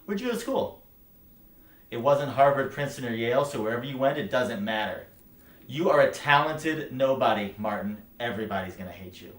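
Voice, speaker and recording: A man acting a low-voiced, bitter writing teacher. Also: close to an everyday voice. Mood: scornful, forceful. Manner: scornful, emphatic, profane, deliberate. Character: bitter, brutally frank male writing teacher lecturing a student. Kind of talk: theatrical stage monologue. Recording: roomy echo, light background noise.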